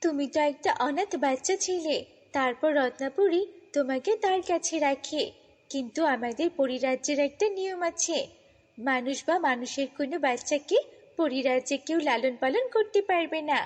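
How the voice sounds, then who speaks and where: A woman voicing a kindly, beautiful fairy in a children's cartoon, earnest and gently admonishing. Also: high-pitched, lively intonation, normal speed, long reverb tail. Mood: earnest, revealing.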